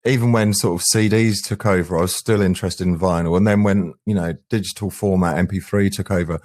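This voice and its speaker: Male musician, low voice, talking through his band's work in a conversational interview. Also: fairly even intonation, a little fast, a little mellow. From England. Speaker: band frontman, singer and guitarist.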